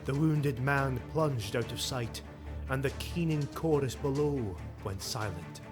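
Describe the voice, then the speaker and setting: Dramatic male fantasy narrator over loud music. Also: mid-range voice, unhurried. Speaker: audiobook narrator.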